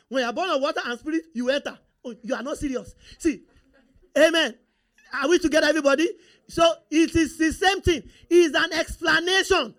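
A man's voice: extremely high pitch, a bit bright. Nigerian preacher quizzing his congregation. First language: Yoruba. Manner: call-and-response, rhetorical questions to the congregation. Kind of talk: sermon.

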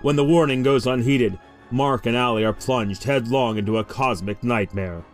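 A man's voice: medium pitch, normal speed, soft background music. A man reading scripted promotional narration as a trailer announcer.

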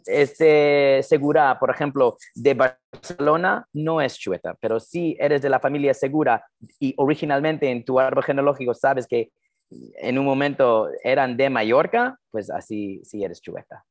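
A man's voice: mid-range voice, no echo, clean studio sound. A man in his forties with an American accent, a native English speaker chatting as a podcast guest, hesitant with many fillers. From New Jersey, United States. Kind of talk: casual interview chat.

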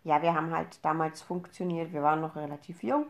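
A woman's voice: low voice, steady tone, a little fast. A woman of 32 from Upper Franconia in Germany, talking casually as a podcast co-host. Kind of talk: casual conversational podcast talk.

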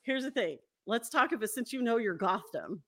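A woman in her forties with a very lively, swooping delivery and a fairly high voice. She hosts a loose, sweary conversation, excitable and giggly, wandering from one thought to the next. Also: moderate pace. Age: 40s. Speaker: interview show host. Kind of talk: casual, profane chat.

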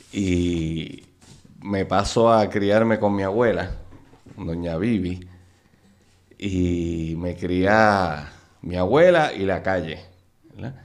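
A middle-aged man with a Puerto Rican accent and a low, gravelly voice. He tells stories about his childhood easily, laughing often as the memories come back, like a guest settled into a long conversation. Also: a little mellow. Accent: Puerto Rican Spanish. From Puerto Rico. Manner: laughs often while recalling old times. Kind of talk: casual storytelling interview.

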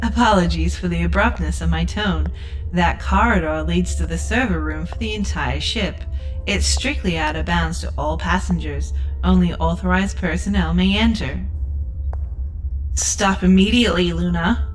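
A relentlessly polite female ship's computer, played by an actress, serves up chirpy corporate patter in a sci-fi audio drama. Her voice sits a little low for a woman, with music behind it. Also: normal speed, close to an everyday voice, rough recording. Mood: stern, apologetic. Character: relentlessly perky, customer-service obsessed female spaceship AI.

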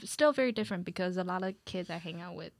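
A young adult woman in a casual chat, speaking in a jokey, animated way and swearing freely. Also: fairly low pitch, fast, no room sound.

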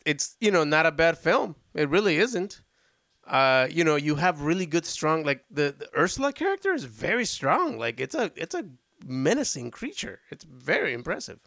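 A man's voice: a little high, big pitch swings, normal speed, creaky, fairly bright tone, no room sound, clean studio sound. A man hosting a casual film podcast, talking enthusiastically and rambling with frequent restarts. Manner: enthusiastic, rambling, self-interrupting. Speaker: podcast host. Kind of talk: casual chat about old films.

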